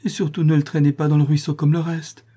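A man voicing a poor, bullied woman prisoner who begs and thanks, in a husky voice. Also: fairly high pitch, little pitch movement, fast talker, mellow, pitched above the performer's own voice. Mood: begging. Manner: grateful, begging.

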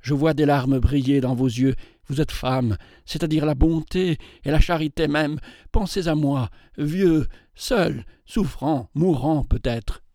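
Man reading old gentleman pleading his case, earnest and a bit grand, soft mellow voice. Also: a little high, a little slow, no echo, studio quality. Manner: earnest, emphatic, courtly. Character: aging gallant bachelor collector, passionate and pleading.

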